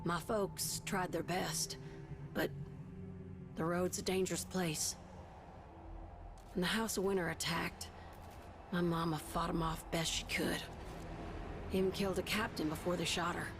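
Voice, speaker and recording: A woman voicing a plucky, tough, warm-hearted pilot in a video game, with a folksy, sincere manner. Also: fairly low pitch, steady tone, a little slow, long pauses, background noise. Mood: wistful, resolute. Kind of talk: game voice acting. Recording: clear music bed behind the voice.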